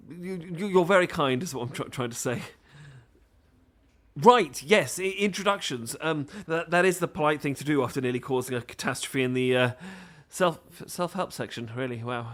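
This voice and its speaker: A man's voice: a little high, a bit bright, lightly acted. A man with a British accent playing a nervous, apologetic, bookish romantic lead in an audio roleplay, stammering and rambling hesitantly. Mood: sheepish, rambling, then flustered, smitten.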